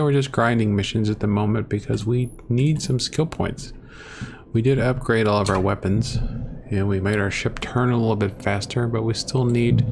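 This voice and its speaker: A man's voice: mid-range voice, creaky, a little mellow, slight background noise. A man hosting a gaming channel, talking through his gameplay in a rambling, self-deprecating way on a close desktop microphone at home. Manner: rambling, self-deprecating, thinks aloud while playing. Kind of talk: casual gameplay commentary.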